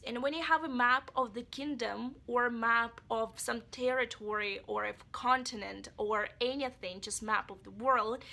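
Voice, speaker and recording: A woman's voice: fairly high pitch, creaky, bright, forceful tone. A woman giving a chatty, rambling book review and speaking straight to her viewers, her voice a bit off from being sick. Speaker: book vlogger. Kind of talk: casual book review vlog.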